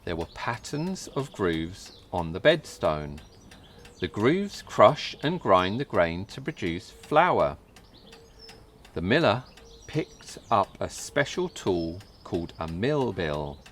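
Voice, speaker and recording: Male storybook reader, low voice with very lively intonation, unhurried and careful, faint birdsong and ambient noise behind. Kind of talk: scripted children's story narration.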